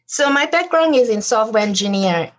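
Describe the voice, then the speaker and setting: A woman's voice: a little high, lively intonation, normal speed, lo-fi sound. A woman guest on a podcast interview, talking animatedly about her design business with frequent fillers and light laughs. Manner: laughs lightly as she talks.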